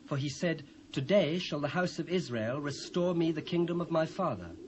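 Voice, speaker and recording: A man's voice: fairly high pitch, animated, normal speed, noisy background, natural voice. A British man playing a humble, fawning royal servant in a dramatised scripture, deferential and declamatory in archaic English. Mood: deferential, explaining. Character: humble, fawning male servant addressing his king.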